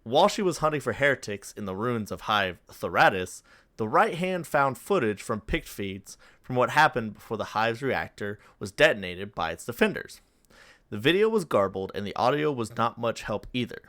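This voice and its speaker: A man's voice: mid-range voice, very animated, moderate pace, fairly bright tone, dry recording, clean studio sound. A man co-hosting a podcast, explaining and narrating fictional lore. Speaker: podcast co-host.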